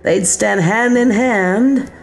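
A woman reading a rhymed children's story aloud, with dramatic, drawn-out emphasis. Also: medium pitch, vocal fry, faint music behind. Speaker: storybook reader.

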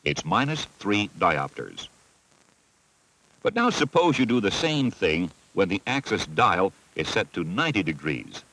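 An American man narrating a scripted instructional film in a clear, methodical, teacherly manner. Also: low voice, sing-song, measured pace, a bit bright, rough recording. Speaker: narrator of a military training film. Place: voice-over recording.

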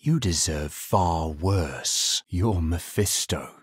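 Fantasy game necromancer hero: grave and understated, husky tone, very dry close sound. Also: male voice, low-pitched, animated, takes it slowly, no room sound, heavily acted. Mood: grave, determined. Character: grave, world-weary male necromancer hero. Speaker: video game voice actor. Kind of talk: dramatic fantasy game dialogue lines.

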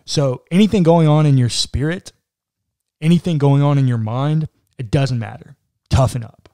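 A man in his thirties with a dark, mellow voice, co-hosting a relaxed podcast conversation. He muses aloud with plenty of "like"s, recorded close on a studio mic in a dry, small room. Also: mid-range voice, normal speed, no echo. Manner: reflective, talks it through with frequent "like". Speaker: podcast co-host. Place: small room indoors, seated in armchair. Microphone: boom-arm studio mic close in front.

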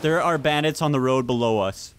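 A man's voice: mid-range voice, fairly quick, smooth. A man playing an online game with friends, giving casual running callouts into a close mic.

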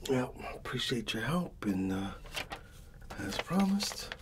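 A middle-aged man with an American accent talks in a soft, often whispery voice. His pace is slow and unhurried, like a reassuring uncle in an ASMR barber roleplay. The recording has clearly audible noise. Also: medium pitch, sing-song. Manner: unhurried, casual, avuncular reassurance. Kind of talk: soft-spoken ASMR personal attention roleplay.

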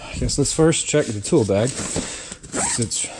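A man talks his way through a storage unit haul in an unhurried, chatty voice, tossing off wry little asides. He is recorded on a head-mounted action camera in a metal-walled storage hallway. Plastic crinkling and rustling noise sits clearly behind him. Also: mid-range voice, lively intonation. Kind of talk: casual vlog narration while unboxing. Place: indoor self-storage hallway, metal walls.

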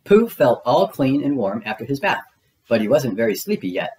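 Expressive man narrating a children's storybook. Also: mid-range voice, normal speed, slight background noise. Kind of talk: children's read-aloud narration.

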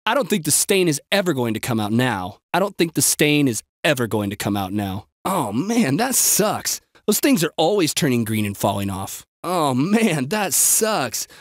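Video game everyman, snarky, whiny, exaggerated, lively swooping pitch, dry close sound. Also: no room sound.

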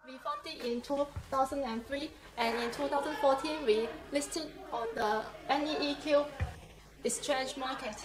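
A young woman guiding visitors through an office and factory, speaking haltingly with many fillers. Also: high voice. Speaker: company guide. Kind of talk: factory tour walkthrough. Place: office and factory floor, indoors. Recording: noisy factory and office background.

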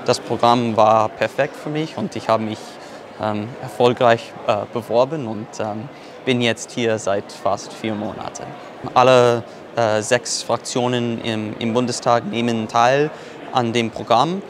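A man's voice: medium pitch, steady tone, unhurried. A man in his twenties from the United States, a native English speaker with an American accent, giving an on-camera testimonial as an intern and searching for words. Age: 20s. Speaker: parliamentary intern. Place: large busy glass-walled lobby, indoors. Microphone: lapel mic. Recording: clear background noise of busy hall.

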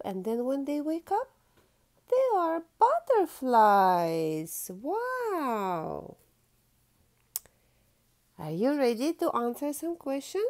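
Slow, very animated woman reading to children. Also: sits high. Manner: expressive, drawn-out key words, teacherly prompting. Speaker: children's storytime host. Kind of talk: read-aloud picture book for young children, with questions.

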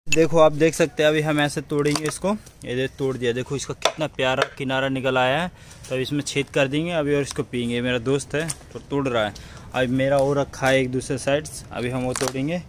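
A man's voice: mid-range voice, fairly even intonation, brisk. Young male vlogger, chatty with viewers, phone at arm's length outdoors, heavy background noise. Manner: chatty, addresses viewers as friends. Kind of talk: casual travel vlog. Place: outdoors on a beach.

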